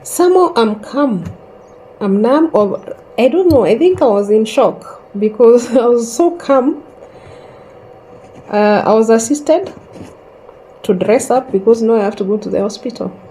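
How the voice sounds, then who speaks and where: A woman in her thirties slowly telling a personal story in a calm, reflective way, pausing often. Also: a little high, slight background noise, low-quality recording. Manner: slow and reflective, with long pauses. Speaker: host sharing a personal story.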